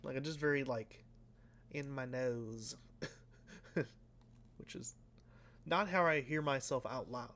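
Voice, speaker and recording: A man casually commenting while he plays a racing game, rambling and self-deprecating, with a flat, slightly nasal voice that trails off into mumbles. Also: mid-range voice, slow pace, pauses often. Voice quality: sounds flat and a bit nasal, by his own account. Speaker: let's play host.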